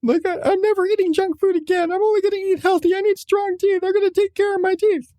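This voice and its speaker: Panicky seven-year-old boy, played by an adult man, high-pitched, repetitive pleading, storytelling re-enactment. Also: fairly even intonation, quick pace, dark tone, no room sound, studio quality. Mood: frantic pleading. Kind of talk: acted quote in a personal anecdote.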